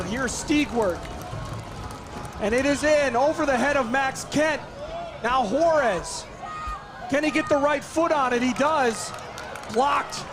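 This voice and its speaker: A man calling a live soccer match play by play, hoarse and losing his voice, his voice jumping up on big chances. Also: extremely high pitch. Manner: excitable, voice jumps up on big chances. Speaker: play-by-play commentator. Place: outdoor soccer field. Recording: loud crowd and outdoor noise. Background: cheering crowd.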